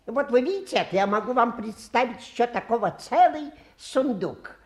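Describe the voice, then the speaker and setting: A male actor playing a touchy, boastful travelling fakir in a radio play, with pompous, halting, theatrical patter. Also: very high voice, expressive. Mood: fussy, boastful.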